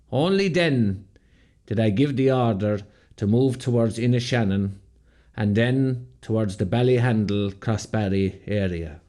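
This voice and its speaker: An actor playing a grave rebel commander recalling a war, in measured, solemn radio drama narration. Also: male voice, medium pitch, mellow, lightly acted. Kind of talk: scripted first-person drama narration.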